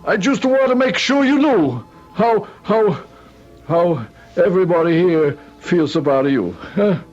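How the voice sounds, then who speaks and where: High-voiced, excitable old shopkeeper. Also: male voice, faint music behind. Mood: eager, confiding. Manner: effusive, halting, repeats himself, pleading. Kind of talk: old-time radio drama acting. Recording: muffled vintage radio drama recording.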